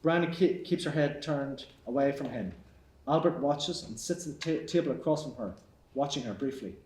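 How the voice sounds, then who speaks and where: A man reading stage directions aloud at a live table read, even and matter-of-fact, in an echoey theatre. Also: medium pitch, normal speed. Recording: echoey hall.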